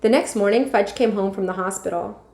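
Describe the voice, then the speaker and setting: A woman narrating a children's story aloud like a teacher, expressively and with clear phrasing. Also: mid-range voice, fairly quick, a little mellow. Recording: echoey room.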